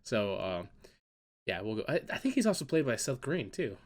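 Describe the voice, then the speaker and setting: A man's voice: mid-range voice, sing-song, moderate pace. A man hosting a casual podcast chat, still congested and stuffy-nosed from being sick, upbeat and steering the questions. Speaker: podcast host.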